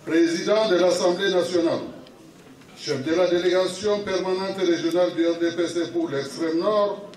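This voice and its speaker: High-voiced Cameroonian man in an echoey hall. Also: fairly even intonation, normal speed, a little mellow. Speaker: official reading out resolutions. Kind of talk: formal read-aloud public declaration. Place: municipal hall at a public meeting. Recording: echoey hall, distant microphone.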